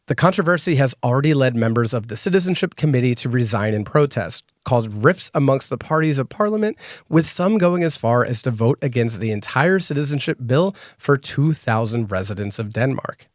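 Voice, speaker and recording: A man hosting a podcast, laying things out in a clear, measured way. His voice sits at an ordinary male pitch and is recorded close and dry, with no room sound. He sounds scripted but easy to follow. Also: animated, no echo. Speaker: podcast host. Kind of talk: scripted podcast narration and interview.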